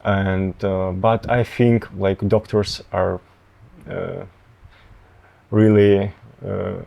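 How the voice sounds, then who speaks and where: Low creaky man with a Russian accent. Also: level tone, mellow, lo-fi sound. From Tatarstan, Russia. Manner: halting, searching for words, many fillers. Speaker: medical student guest. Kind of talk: interview answers.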